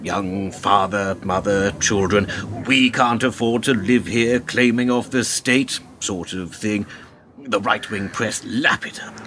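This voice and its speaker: A man's voice: on the low side, fairly bright tone, a little hiss or hum. A man acting in a radio play as a smug, cynical older political fixer who talks in a pompous, wordy, condescending way. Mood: smug, lecturing.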